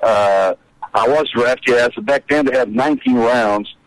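A man in his sixties from Brazil with a Brazilian Portuguese accent, a guest recalling his playing days in a casual interview, halting with many repeats and restarts. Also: medium pitch, dry recording, poor audio. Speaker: guest, former college kicker.

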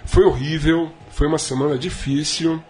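A man's voice: steady tone, moderate pace, darkish tone, slight background noise. A man co-hosting a podcast, telling a sardonic, rambling story in casual comedic chat. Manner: rambling, sardonic storytelling with big swings in pitch. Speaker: podcast co-host.

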